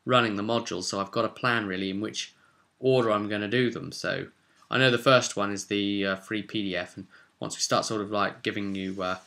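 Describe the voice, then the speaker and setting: Man, British accent, slightly low pitch, bright forceful tone, casual rambling vlog monologue, chatty game master. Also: fairly even intonation, brisk, vocal fry. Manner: chatty, thinking aloud.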